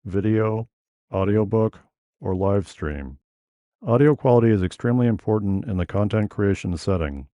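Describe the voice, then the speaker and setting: Man, New England accent, monotone, formal scripted explainer; mellow tone, dry close recording. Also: lowish voice, no room sound. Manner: measured, formal, deliberate delivery. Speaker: tech educator.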